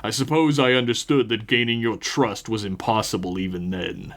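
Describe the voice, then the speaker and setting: A man voicing a huge, calm, menacing cult reverend for a tabletop horror game, with a deep guttural voice and solemn, measured delivery. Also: close to an everyday voice. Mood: calm menace. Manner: slow, measured, solemn preaching.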